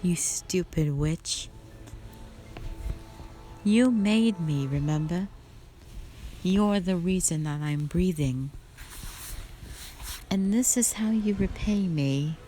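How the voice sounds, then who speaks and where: A woman voicing a sadistic spider monster in a dramatic audio roleplay, taunting with a menacing, drawn-out delivery. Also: fairly low pitch, mellow, faint music behind. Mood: bitter, scornful.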